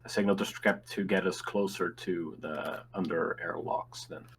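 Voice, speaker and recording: Man, tabletop player, lowish pitch, casual game chat, some background noise. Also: fairly even intonation, moderate pace, a bit bright.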